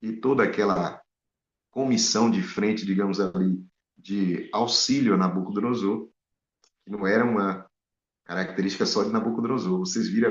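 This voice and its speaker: A man preaching a Bible study sermon, animated and full of rhetorical questions to his listeners. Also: fairly low pitch. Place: in Spain. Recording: echoey, dull lo-fi room sound.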